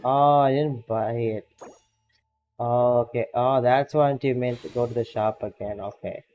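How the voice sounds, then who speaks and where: A man's voice: mid-range voice, fairly even intonation, unhurried, a little mellow, highs cut off, soft background music. A man streaming a game as a VTuber, chatting casually with a friend, laughing often and reacting to game results. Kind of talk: casual gaming stream chat.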